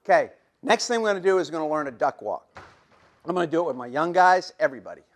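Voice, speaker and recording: Middle-aged man, line coach at a clinic; folksy, repetitive, fairly high voice, hoarse. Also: very animated, fast. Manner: folksy, repetitive, demonstrating as he talks. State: losing his voice, coughing.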